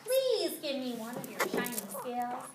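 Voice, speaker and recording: A small, admiring young fish played by a woman reading a storybook calls out in a high, pleading voice. The delivery is slow and earnest. The room is echoey and noisy. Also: sing-song.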